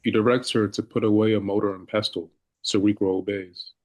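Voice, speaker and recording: A man's voice: on the low side, steady tone, brisk, darkish tone. A man reading stage directions as scripted narration for a drama.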